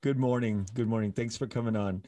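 A man hosting a podcast interview from a home office, asking calm, measured questions into a studio microphone. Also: medium pitch. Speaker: podcast host. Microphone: studio mic with headphones.

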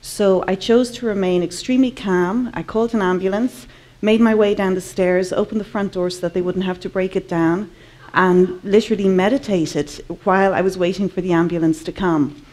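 An Irish woman in her forties tells her story from a conference stage. Her voice sits in a middle pitch and is picked up close by a headset mic. She speaks earnestly and unhurriedly, leaning on her key words. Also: steady tone, moderate pace, a little mellow. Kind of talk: personal talk to a live audience. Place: large conference hall, indoors.

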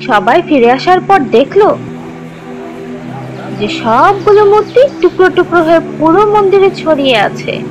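A woman playing a young cartoon storyteller who teaches children religious tales in an expressive, instructive way. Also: very high-pitched, measured pace, highs cut off, music in the background. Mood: instructive, engaged.

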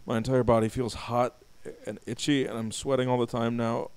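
A man's voice: mid-range voice, level tone, normal speed, smooth, dry recording. A young man co-hosting a game playthrough, reading a diary entry aloud with mock drama and joking with his partner. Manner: laughs loudly, reads the diary with mock drama. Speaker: let's play co-host.

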